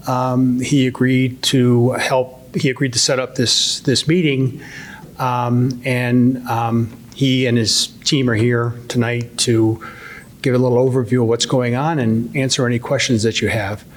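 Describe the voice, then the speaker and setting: Guy opening a town hall, mid voice, lots of ums, kinda halting. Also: medium pitch. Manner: halting, many "um" fillers. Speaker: state representative, event organizer. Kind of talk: introducing a speaker at a town hall.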